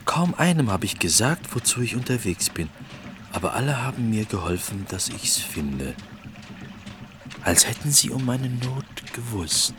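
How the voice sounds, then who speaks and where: An actor playing an earnest, persistent village potter, pleading and insistent, in a German radio play with background noise. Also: male voice, medium pitch, big pitch swings, a little slow.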